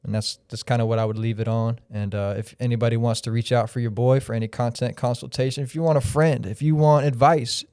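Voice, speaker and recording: A man in his thirties from Florida, talking as a podcast guest. His voice is warm, mellow and resonant, and it sits close and dry on the mic. He rambles in a reflective, freewheeling way. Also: mid-range voice, steady tone, fast, hardly any pauses, clean tone, no echo. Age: 30s. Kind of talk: casual podcast chat.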